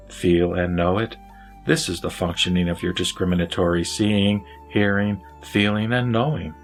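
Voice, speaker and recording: Solemn wise sage, man reads him, lower voice, earnest instructive tone, faint music bed. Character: Vimalakirti, wise lay sage teaching.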